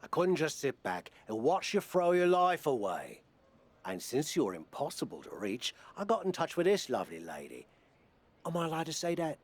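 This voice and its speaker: A man's voice: a little high, big pitch swings, moderate pace. A man playing a blunt, supportive British wrestling promoter and mentor in a video game cut scene, with a wry manner. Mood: firm, concerned.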